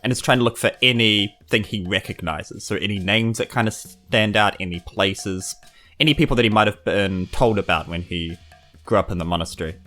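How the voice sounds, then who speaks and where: A man's voice: fairly low pitch, brisk, bright, forceful tone, soft background music. A man playing a tabletop game, chatting casually in a wry, joking way. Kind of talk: casual playful game chat.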